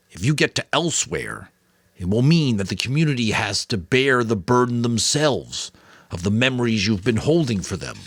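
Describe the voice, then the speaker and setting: A man's voice: mid-range voice, fairly bright tone, dry recording, clean studio sound, pitched above the performer's own voice, heavily acted. A man voicing a weary but firm old mentor, grave, caring and earnest, in a dramatic audiobook reading. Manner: earnest, emphatic, deliberate.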